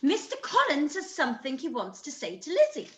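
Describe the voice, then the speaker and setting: An actress playing a fussy, bossy, excitable middle-aged mother, gushing and insistent, in a period radio play. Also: sits high, brisk. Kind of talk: period drama live-read radio play. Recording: echoey room.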